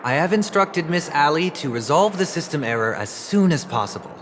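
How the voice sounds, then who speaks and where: A man playing a courteous, composed leader of a powerful family in a video game, speaking formally and with measured grace. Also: a little high, lively intonation, fairly bright tone, slight background noise. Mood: polite, reassuring. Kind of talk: English video game voice acting. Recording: music behind the voice.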